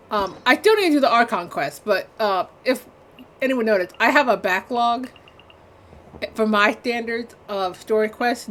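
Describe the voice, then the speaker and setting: A woman's voice: medium pitch, fairly bright tone, a little hiss or hum. A woman livestreamer giving casual gameplay commentary in a dry, offhand, rambling way.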